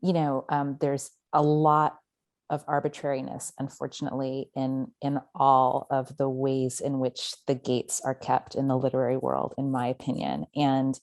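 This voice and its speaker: A woman novelist talking as a podcast guest in a thoughtful, measured way, qualifying her points. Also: rumbling low, completely flat delivery, a little mellow, no echo, clean studio sound. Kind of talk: casual podcast conversation.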